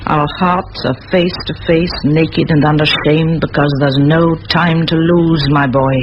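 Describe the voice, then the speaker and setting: An actress playing a determined middle-aged schoolmistress in a vintage radio play, speaking in firm, measured, eloquent phrases. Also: female voice, low voice, steady tone. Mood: stern, urgent. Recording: muffled old radio recording, faint hiss.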